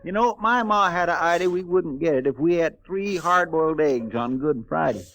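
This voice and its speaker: An actor playing a folksy, talkative frontier sidekick with a homespun Southern US drawl in a 1950s radio western. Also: male voice, fairly high pitch, animated, moderate pace, a bit bright, poor audio. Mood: rambling, folksy.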